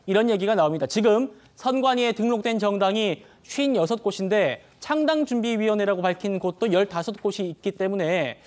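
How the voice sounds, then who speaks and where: A man anchoring a TV news talk show in a studio, with a measured and formal presenter delivery. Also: sits high.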